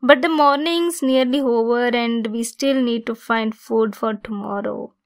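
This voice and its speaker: Female centaur for ASMR roleplay, played by a woman: possessive yet caring, lightly teasing, higher-pitched, very unhurried delivery. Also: steady tone. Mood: calm, caring. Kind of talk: ASMR roleplay, scripted monologue.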